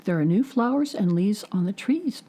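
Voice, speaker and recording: A woman voicing a gentle, wise woman in a storybook, calm and explaining. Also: medium pitch, moderate pace.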